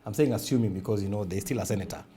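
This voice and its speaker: A man's voice: fairly low pitch, racing, mellow. A man hosting an interview, asking brief, plain questions. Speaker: interview host.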